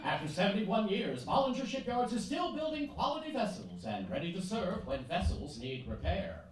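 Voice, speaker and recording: A man's voice: sits high, wildly swooping pitch, normal speed. A man playing a polished old-time radio announcer reading scripted sponsor announcements. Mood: formal, upbeat. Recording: very echoey, distant, noisy stage recording.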